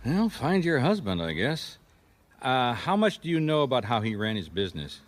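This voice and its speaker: An actor playing a dry, world-weary private detective in a radio mystery, speaking in a matter-of-fact way. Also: male voice, mid-range voice, big pitch swings, normal speed, dry recording, natural voice. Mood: businesslike, skeptical. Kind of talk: radio mystery acting with first-person narration.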